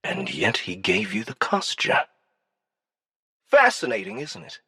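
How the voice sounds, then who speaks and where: Man acting a creaky, husky, insinuating alien dignitary. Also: medium pitch, huge pitch swings, sharp tone, no echo, studio quality, pitched above the performer's own voice, full character voice. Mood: coolly curious. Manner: languid, deliberate, insinuating. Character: cunning, probing male alien dignitary, coolly menacing.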